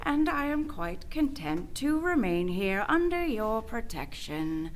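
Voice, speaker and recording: A woman's voice: on the high side, sing-song, takes it slowly. A woman playing a haughty, weary exiled leader in a comedic sci-fi radio play, imperious and formal in manner. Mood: haughty weariness.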